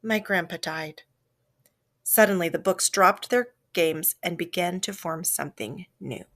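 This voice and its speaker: A woman reading a children's story aloud as scripted narration, with a dramatic, expressive delivery that varies for effect. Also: on the low side, normal speed. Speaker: storybook reader.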